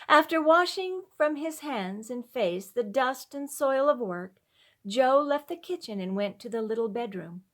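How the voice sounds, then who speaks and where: A woman narrating a classic story in an expressive, sentimental, dramatic storybook manner. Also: medium pitch. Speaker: storyteller reading a classic tale. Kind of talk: expressive scripted narration of old-fashioned story.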